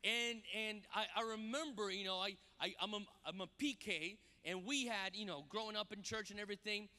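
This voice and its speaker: A man, a guest pastor preaching on a church stage into a handheld mic, animated and often repeating words as he starts a sentence. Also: sits high, brisk. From Brazil. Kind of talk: sermon with personal stories. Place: large church stage with audience. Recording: long hall reverb.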